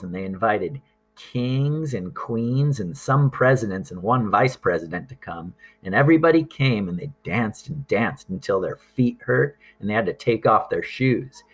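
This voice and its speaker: Slow, husky man reading a storybook. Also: mid-range voice, low-quality recording. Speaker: storybook reader. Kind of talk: children's read-aloud narration.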